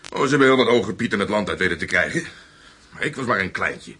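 A man's voice: lowish voice, animated, fast talker, sharp tone, slightly rough, heavily acted. An actor in a Dutch radio play voicing a former minor SS man, a loyal, chatty but wary Nazi. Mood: chatty, reminiscing.

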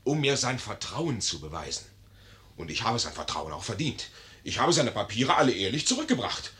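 An actor playing a young, hot-headed and naive army lieutenant, indignant and declamatory, in a 1950s German radio play. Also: male voice, on the low side, very animated, moderate pace, bright, forceful tone.

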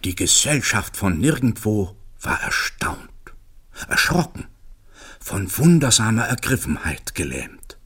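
The narrator of a satirical radio play. He is low and husky, telling the tale slowly with wry, dramatic solemnity. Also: sing-song, fairly bright tone, heavily acted.

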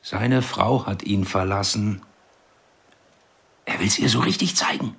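An actor playing the ghost of a dead husband in a radio drama, laconic, wry and needling. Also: male voice, lowish voice, a little slow, creaky, fairly bright tone. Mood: brooding, cynical.

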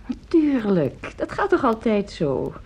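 Radio play mother, middle-aged: warm dark tone, husky edge, animated melody, composed and gently sceptical. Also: a little high, takes it slowly, heavily acted. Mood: quietly dismissive. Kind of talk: Dutch radio play acting.

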